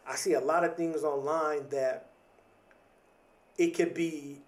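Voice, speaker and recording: A man's voice: a little high, steady tone, slow pace, far from the mic. A man in his thirties talking in a reflective, casual podcast monologue, often repeating and restarting words, with casual slang. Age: 30s.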